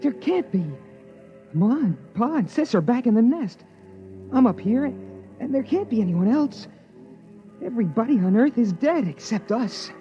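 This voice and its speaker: A man's voice: extremely high pitch, big pitch swings, normal speed, pauses often, dark tone, soft background music. An actor playing a curious, anxious boy who narrates his own story in a 1950s radio drama. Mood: startled. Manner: earnest, breathless boyish delivery. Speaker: narrator and young son. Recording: muffled 1950s radio broadcast.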